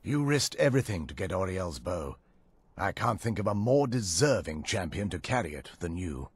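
An actor playing a noble, formal elven knight-paladin in a fantasy video game. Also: male voice, low-pitched, sing-song, normal speed, slightly rough, faint music behind, full character voice. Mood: grateful. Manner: formal, grave.

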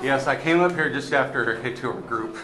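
A man's voice: mid-range voice, moderate pace, a crowd in the background. A man chatting casually and good-humoredly as he narrates a sightseeing climb to his handheld camera, speaking while exerting himself. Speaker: amateur vlogger filming a sightseeing climb. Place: inside a narrow brick lighthouse stairwell. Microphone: handheld camera held by the speaker. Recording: echoey stairwell, long reverb, clear noise.

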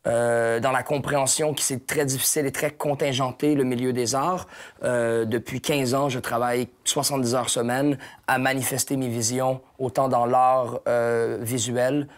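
A man's voice: mid-range voice, flat delivery, moderate pace. A man in his thirties with a Québécois accent, answering interview questions thoughtfully with frequent hesitations and restarts, on a lapel mic in a small lounge studio. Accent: Québécois French. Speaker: hip-hop artist guest.

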